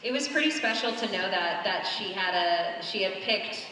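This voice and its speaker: A woman's voice: medium pitch, animated, normal speed, sharp tone, a little hiss or hum. A woman voice actress moderating a convention panel, upbeat and encouraging. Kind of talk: casual panel talk. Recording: echoey convention hall, far from mic.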